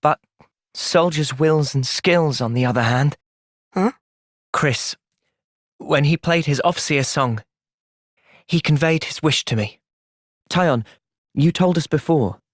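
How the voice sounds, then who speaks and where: A man with an English accent playing an earnest, thoughtful young soldier hero in a video game, speaking sincerely and with measured delivery. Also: on the high side, no echo, studio quality. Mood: calm, earnest. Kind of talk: video game cutscene voice acting.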